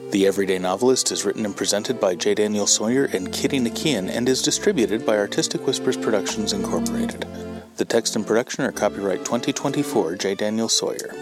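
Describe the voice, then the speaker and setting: Guy reading podcast outro credits, lowish voice, music playing loud behind him. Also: fast talker. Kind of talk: scripted credits narration. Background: instrumental music.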